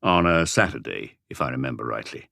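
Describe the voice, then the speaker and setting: A man voicing a calm, weary police inspector in an audiobook, putting patient, measured questions. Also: vocal fry, no echo, studio quality, heavily acted. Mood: patient, questioning. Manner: measured, low-key questioning. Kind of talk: audiobook character dialogue.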